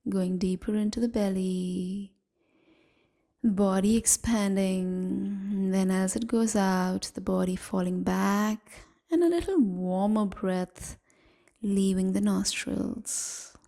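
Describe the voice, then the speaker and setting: A woman leading a guided meditation in a gentle, soothing, half-whispered voice, leaving long pauses between phrases. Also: medium pitch, gravelly. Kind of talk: guided evening body scan meditation.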